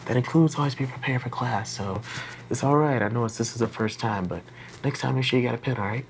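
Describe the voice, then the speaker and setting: A man's voice: mid-range voice, fast, slight background noise, natural voice. An American man in his thirties playing a blunt, earnest graduate teaching assistant instructing a class in a casual, plain-spoken way. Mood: confiding. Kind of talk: classroom instruction, acted dramatization. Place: classroom lab, indoors.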